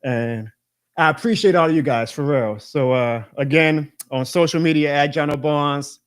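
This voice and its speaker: This is a man in his thirties hosting a live sports stream, with a voice pitched a little high for a man. He rants with energy and laughs often. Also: normal speed, clear, resonant tone. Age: 30s. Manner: animated ranting, laughing often. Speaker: show host.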